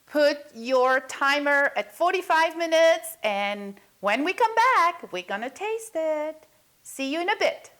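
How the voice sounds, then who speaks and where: A woman's voice: high voice, lively intonation, unhurried, a bit bright. A woman from Belgium, chatting through a recipe as a cooking show host. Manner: chatty, talks viewers through each step. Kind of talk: cooking demonstration.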